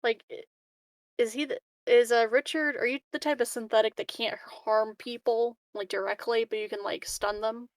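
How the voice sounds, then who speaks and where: A woman's voice: a little high, fairly even intonation, normal speed, dry recording, studio quality. A woman chatting casually as a player in a tabletop roleplaying game. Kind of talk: casual chat.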